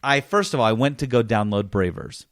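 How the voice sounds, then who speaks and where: A man co-hosting a gaming podcast, telling stories in casual chat with animated, emphatic repetition. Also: mid-range voice, brisk, dry recording, clean studio sound. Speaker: gaming podcast co-host and reviewer. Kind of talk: casual chat, game review.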